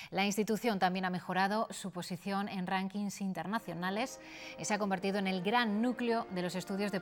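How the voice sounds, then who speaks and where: Castilian Spanish woman reading the news. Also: medium pitch. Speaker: news presenter.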